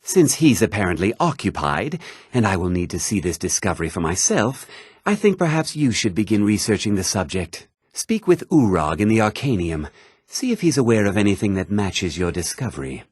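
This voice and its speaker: An actor voicing a haughty, formal middle-aged mage and official in a fantasy video game, speaking precisely and with condescending politeness. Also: male voice, fairly low pitch, big pitch swings, normal speed, a little mellow, husky, no room sound, clean studio sound. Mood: measured, approving.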